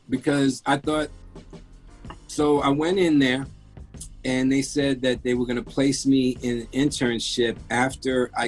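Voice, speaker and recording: A man in his fifties, born in France, telling his career story as a guest in a casual interview, candid and rambling with many restarts. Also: mid-range voice. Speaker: guest, music engineer.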